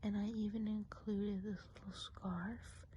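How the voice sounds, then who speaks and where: A woman playing a kindly, whimsical woodland witch in an ASMR role play, whispering softly, breathily and gently. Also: mid-range voice, level tone, breathy edge. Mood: whimsical, soothing. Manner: slow, gentle, unhurried explaining. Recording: faint background noise.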